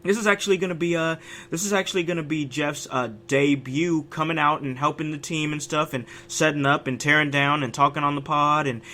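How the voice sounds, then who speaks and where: A man hosting a casual podcast chat, sounding raspy from a cold, talking with an animated, hyped-up energy and frequent restarts. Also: a little high, fairly quick, a little hiss or hum. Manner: animated, hype delivery with many restarts. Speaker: podcast host.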